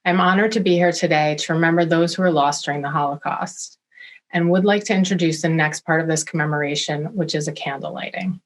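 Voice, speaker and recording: Low-voiced young woman presenter. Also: steady tone, a little fast. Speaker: presenter introducing the ceremony. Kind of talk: scripted introduction.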